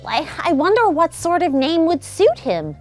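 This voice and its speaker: A woman's voice: very high-pitched, huge pitch swings, normal speed, soft background music, voice pushed up, heavily acted. A woman voicing a polite, curious young boy in a children's storybook, questioning and tentative.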